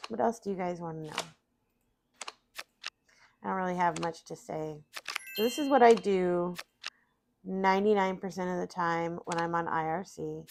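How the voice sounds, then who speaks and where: A woman's voice: fairly low pitch, moderate pace, vocal fry, long pauses, darkish tone, a little hiss or hum. A middle-aged woman, sniffly and tired after vaccines, chatting casually and rambling about her day while playing solitaire. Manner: rambling, self-deprecating, talks to chat. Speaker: streamer.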